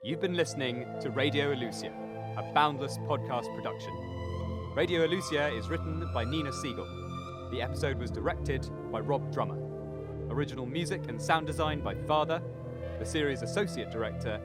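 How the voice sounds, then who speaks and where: A man's voice: medium pitch, brisk. A man reading scripted end credits as a podcast announcer. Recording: loud music bed behind voice.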